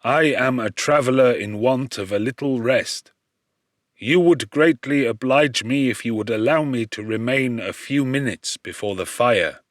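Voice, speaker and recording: A lonely outcast creature, acted by a male audiobook reader, speaks in a mid-pitched male voice. He is humble and eloquent, weighing each formal phrase slowly with anxious, pleading earnestness. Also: dry recording, studio quality, close to an everyday voice. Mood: humble, polite. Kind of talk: audiobook character voice.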